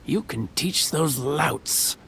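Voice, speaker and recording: Old male carpenter for a game: creaky, blunt but kindly, hesitant and folksy. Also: on the high side, animated, takes it slowly, sharp tone, slight background noise, exaggerated voice. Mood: rueful, grumbling. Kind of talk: video game voice acting.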